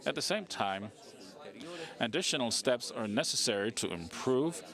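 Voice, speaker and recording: Man interpreting a speech, halting. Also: medium pitch, animated, gravelly, crowd noise. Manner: halting, frequent fillers and restarts. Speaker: simultaneous interpreter.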